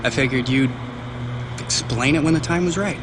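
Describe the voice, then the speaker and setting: A man acting as an eager, cheeky young tech whiz in a game cutscene, slangy and excitable. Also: medium pitch, moderate pace, background noise, lightly acted. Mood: eager, loyal. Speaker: actor in a video game cutscene.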